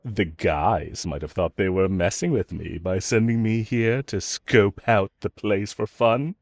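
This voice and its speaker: A man playing a smug, flirtatious villain in an audio roleplay, teasing and coaxing the listener with self-assured, drawn-out phrasing. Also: lowish voice, no room sound, studio quality. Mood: flirtatious, boastful.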